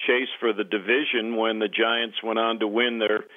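A male radio broadcaster giving sports analysis as an interview guest, haltingly and with repeated words. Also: lowish voice, level tone. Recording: tinny, narrow phone-like line.

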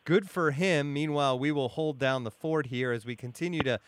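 Male podcast host, mid-pitched, clean resonant voice, dry sound, conversational sports discussion. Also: normal speed, no echo.

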